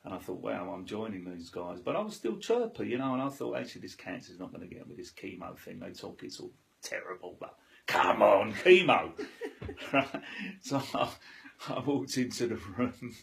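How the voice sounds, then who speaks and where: A man telling a story with humour in a loud, chirpy way, as the guest in an interview. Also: on the low side, big pitch swings, moderate pace, vocal fry. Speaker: interviewee, cancer patient.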